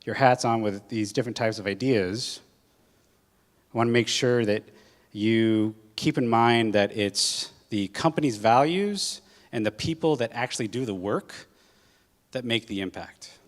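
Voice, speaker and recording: A man's voice: mid-range voice, a little slow. A man from Hawaii giving a casual, conversational talk to students as a guest speaker, with lots of filler ums and uhs. He says he is jet-lagged. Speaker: guest speaker, corporate general manager. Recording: long hall reverb.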